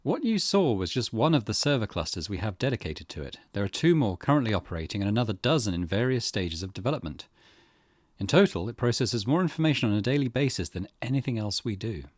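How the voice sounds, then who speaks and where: A man voicing a calculating, ambitious tech executive in a visual novel, speaking in a measured, formal, lecturing way. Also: fairly low pitch, sing-song, dry recording, clean studio sound. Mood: cool, explanatory.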